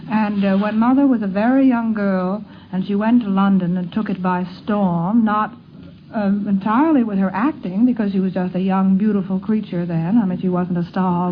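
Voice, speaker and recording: A woman in her forties from the United States reminisces in an interview, with emphatic, theatrical turns of phrase. Her medium-pitched voice comes through a narrow, tinny 1950s radio recording with faint noise. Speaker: interviewee recalling family life. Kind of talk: conversational reminiscence.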